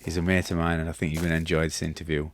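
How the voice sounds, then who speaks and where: A man in his fifties hosting a casual podcast interview in a cluttered home studio, talking haltingly with many fillers and restarts. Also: rumbling low, flat delivery. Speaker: podcast host. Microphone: studio mic with headphones.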